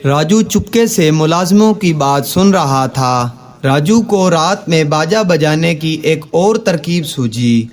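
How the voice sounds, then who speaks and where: High-pitched, slow male children's story narrator. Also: animated.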